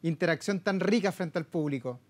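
A man's voice: a little high, normal speed. A man with a Chilean Spanish accent, putting questions to a guest as a television news presenter in a studio. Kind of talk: live television interview questions.

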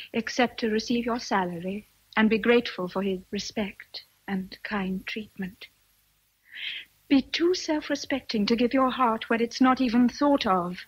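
An actress playing a self-controlled, earnest young governess in a radio drama, speaking formally and frankly. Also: female voice, mid-range voice, slightly rough, dull audio. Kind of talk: vintage radio drama acting.

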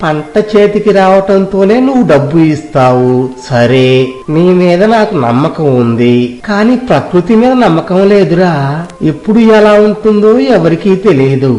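A man's voice: sits high, lively intonation, moderate pace, darkish tone. A man playing a miserly, scheming village moneylender in a story, wheedling and condescending with emphatic delivery. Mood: falsely reassuring. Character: miserly, scheming village moneylender husband, smooth-talking and greedy. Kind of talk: scripted Telugu moral story dramatization. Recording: roomy echo, music behind.

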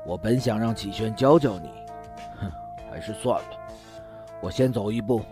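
A man acting as a stern talent manager in a radio drama, admonishing in a deliberate way. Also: on the low side, music in the background, natural voice. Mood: stern, disapproving. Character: stern male talent manager lecturing a newcomer. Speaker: voice actor.

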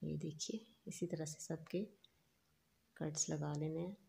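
A woman hosting a home cooking channel, giving calm, instructive step-by-step recipe narration. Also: sits low, measured pace, creaky, slightly rough. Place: home kitchen.

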